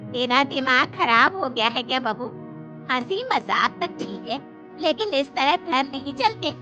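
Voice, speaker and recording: A woman voicing a middle-aged, practical, easily exasperated mother-in-law in an acted family story, admonishing and deliberate. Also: high-pitched, expressive. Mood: scolding. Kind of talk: acted children's story dialogue. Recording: dull audio with music behind.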